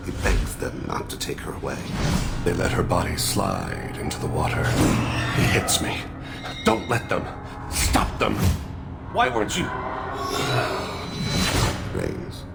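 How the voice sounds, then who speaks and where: An actor playing a solemn, regretful alien assassin and father in a video game, speaking in measured, formal, introspective phrases with a gravelly voice. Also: wildly swooping pitch, loud music behind the voice, full character voice. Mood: haunted, anguished. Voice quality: very deep, heavily gravelly. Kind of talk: video game dramatic dialogue.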